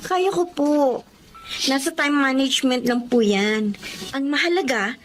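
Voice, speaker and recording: Casting: caring young Filipina daughter, earnest and polite, slightly high voice, radio drama. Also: female voice, unhurried, slight background noise. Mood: earnest, reassuring. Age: young adult. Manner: gentle, respectful. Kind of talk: Tagalog radio drama acting.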